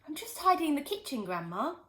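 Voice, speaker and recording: A woman's voice: sits high, sing-song, brisk, fairly bright tone, voice pushed up. A woman doing a cheeky little boy. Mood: innocent. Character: cheeky young boy, mischievous.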